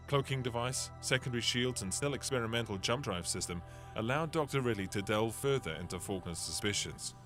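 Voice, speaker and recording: A man voicing a young male ship captain who narrates a game's story in an earnest, steady way, with game music behind. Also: medium pitch, fairly quick, few pauses, lightly acted.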